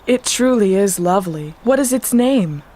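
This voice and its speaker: A woman's voice: mid-range voice, lively intonation, moderate pace, dark tone, close to an everyday voice. A woman playing a gracious, tender young princess in an audio storybook drama, formal and earnest. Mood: gracious, curious.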